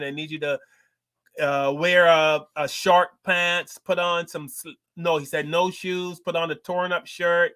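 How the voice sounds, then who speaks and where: A man's voice: a little high, sharp tone, no echo. A man, a guest comedian talking casually on a panel show and animatedly acting out exchanges as he makes his points.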